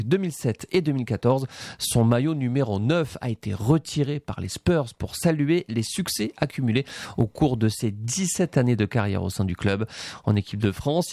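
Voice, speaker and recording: A man presenting a scripted daily almanac segment on radio, measured and informative. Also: medium pitch, darkish tone, no echo, studio quality.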